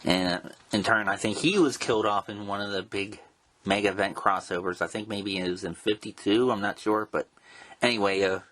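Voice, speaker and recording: A man hosting a show in a casual, informal way, with a halting, rambling delivery. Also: on the low side, fairly even intonation, a bit bright. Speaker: show host and interviewer. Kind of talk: casual hosting and commentary on comics history.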